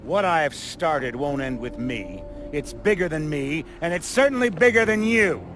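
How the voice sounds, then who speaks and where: An actor playing a ruthless, self-righteous villain leader in a video game, menacing and grandiose. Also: male voice, fairly high pitch, animated, moderate pace, natural voice. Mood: grandiose, defiant. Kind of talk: dramatic video game acting. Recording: clear music under the voice.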